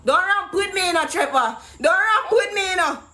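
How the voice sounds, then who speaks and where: A pushy, indignant young Jamaican woman acted out by a man confronts someone on a prank call. The voice is high and sharp, demanding and full of curses. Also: expressive, brisk, full character voice. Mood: indignant, angry. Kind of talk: improvised prank phone call.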